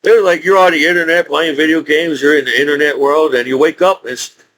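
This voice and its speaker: A man in his forties speaking in a rambling, halting monologue with frequent restarts and laughs. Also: on the high side, fairly even intonation, fairly bright tone, a little hiss or hum, low-quality recording. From United States. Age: 40s. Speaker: YouTuber.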